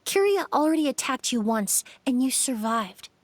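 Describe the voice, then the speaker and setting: A calm, high-voiced apprentice. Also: female voice, no room sound. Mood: reassuring. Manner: calm, measured, reassuring. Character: composed young apprentice perfumer, devoted student. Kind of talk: game dialogue.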